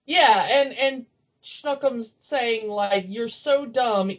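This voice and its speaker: A woman with a fairly high voice chats casually as a podcast co-host. She is talkative and giggly. The audio is a little dull and lo-fi. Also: slow.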